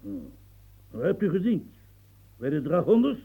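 An actor playing a proud, blustering old marshal in a radio play, gravelly and coughing, swinging from mumbles to outbursts. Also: male voice, fairly high pitch, sing-song, unhurried, phone-quality sound, heavily acted. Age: older.

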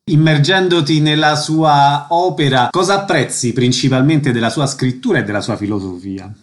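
A man with a fairly high-pitched voice works as a radio interviewer. His delivery is formal and scripted, with the precise manner of an announcer as he introduces items and puts questions. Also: moderate pace.

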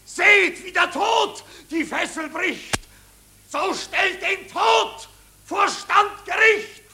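Dying soldier, very high piercing voice, slow anguished declamation, defiant, old radio play. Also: male voice, creaky, pushed and bright, slight background noise, full character voice. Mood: shouting defiance. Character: dying soldier defying his captain and emperor. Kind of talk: 1940s German radio verse acting.

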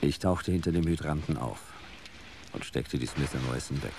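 A man playing a hardboiled private detective, narrating his case in a laconic, deadpan way in a radio play. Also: extremely low pitch, moderate pace, background noise. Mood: dry.

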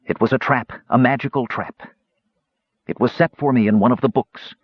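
A man's voice: medium pitch, steady tone, moderate pace, husky, dry recording, muffled recording, pitched above the performer's own voice, lightly acted. A man voicing a frail, bitter mage with a cold, sarcastic, demanding manner in a fantasy audiobook. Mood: weary, grave. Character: frail, bitter, sharp-tongued male mage.

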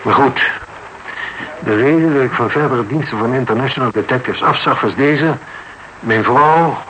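Man playing a touchy middle-aged husband, guarded, halting, curt, medium-pitched male voice, radio drama, clear background noise. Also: natural voice. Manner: guarded, halting, curt, indignant when pressed. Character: proud, embarrassed husband, guarded, easily indignant male client. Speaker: actor in a radio play. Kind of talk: Dutch radio drama acting, dialogue. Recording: noisy old radio broadcast recording.

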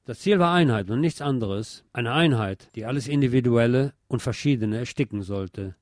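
Mellow-voiced man narrating history. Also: mid-range voice, animated, normal speed, no echo, studio quality. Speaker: radio host. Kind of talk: scripted narration of history, reading aloud.

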